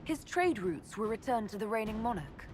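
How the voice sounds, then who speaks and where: An actress playing a firm, dry-witted leader of a fantasy army in a video game, speaking formally. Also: female voice, a little high, very animated, normal speed, darkish tone, soft background music. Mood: stern, judging. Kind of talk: dramatic video game acting.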